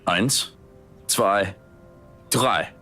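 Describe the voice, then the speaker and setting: A dry, exasperated butler with a German accent, for a visual novel. The voice sits fairly low and scolds in a deadpan way, over faint music. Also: male voice, drawn-out words, heavily acted. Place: studio game voice track with music behind.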